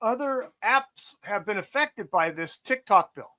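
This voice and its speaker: Profane high-voiced ranting man. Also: expressive, a little slow, pushed and bright. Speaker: podcast host. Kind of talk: casual political chat.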